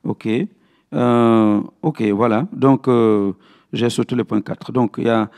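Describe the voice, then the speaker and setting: Male pastor for Bible study teaching; creaky, relaxed voice, didactic and repetitive for emphasis. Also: medium pitch, slow pace. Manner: didactic, repeats key phrases for emphasis. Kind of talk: Bible teaching with verse citations.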